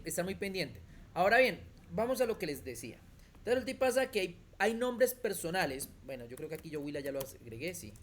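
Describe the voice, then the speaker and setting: A computer skills teacher, explaining step by step in an online class and checking in often with quick questions. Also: medium pitch, slight background noise. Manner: thinks aloud with restarts while demonstrating on screen.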